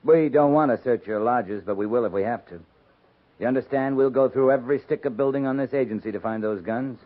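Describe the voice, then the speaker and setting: An American man playing a firm, fair-minded cavalry captain, measured and plain-spoken, in a 1950s radio western. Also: mid-range voice, natural voice. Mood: firm, resolute. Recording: muffled, band-limited 1950s radio recording.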